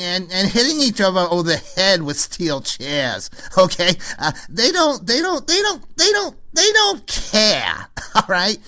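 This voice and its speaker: A man playing a smug, whiny, self-promoting New York arts snob who co-hosts a comedy radio show with halting, repetitive exasperation. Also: high voice, pushed and bright, exaggerated voice. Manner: halting, repetitive, chuckles through exasperated complaints. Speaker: radio co-host. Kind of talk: comedy impression of a radio talk host.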